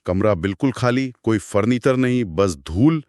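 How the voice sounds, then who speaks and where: A man with a deep voice that rises and falls a great deal leads this podcast chat, retelling a horror story. He builds suspense with slow beats and hushed pauses. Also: lively intonation, dry recording, studio quality. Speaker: podcast co-host.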